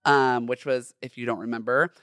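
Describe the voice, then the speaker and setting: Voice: mid-range voice, steady tone, normal speed, a bit bright, dry recording, studio quality. A podcast host in their twenties with a feminine-sounding voice, talking casually and playfully in chatty, slangy, emphatic monologue. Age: 20s.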